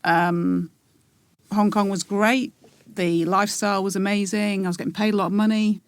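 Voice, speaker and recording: A woman in her forties, a podcast guest, talking in a reflective, halting way with many pauses and restarts. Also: mid-range voice, flat delivery, no echo. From England. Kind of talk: casual interview.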